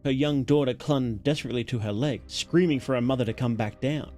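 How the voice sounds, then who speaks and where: A man narrating a horror story as a livestreamer, dramatic and solemn, in deliberate, weighted phrases. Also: medium pitch, mellow, soft background music.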